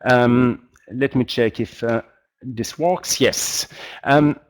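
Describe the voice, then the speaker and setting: A man's voice: medium pitch, slow pace. A man with a strong French accent giving an online expert presentation, politely and with frequent hesitations. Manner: hesitant, searching for words, polite. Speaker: guest expert, humanitarian practitioner. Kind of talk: webinar presentation.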